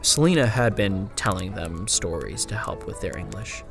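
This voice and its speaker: A man performing a frontier gunslinger's first-person horror narration, in a hushed, deliberate delivery with drawn-out phrases and swelling emphasis. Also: deep voice, lively intonation, creaky, full character voice. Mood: wistful, measured. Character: woman frontier gunslinger recounting her haunted, lost memories. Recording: music bed under the narration. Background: music.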